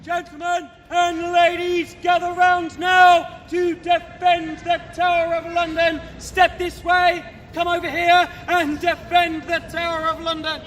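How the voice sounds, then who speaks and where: A man's voice: very high-pitched, completely flat delivery, a little slow, few pauses, a bit bright. A man playing a jovial, blustering castle guard in a live outdoor re-enactment, projecting theatrically to visitors. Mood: rallying. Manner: theatrical, declamatory, projecting to a gathering. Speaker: costumed guide performing for visitors. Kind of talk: outdoor live historical re-enactment, audience participation. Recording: outdoor crowd, noisy open reverberant space.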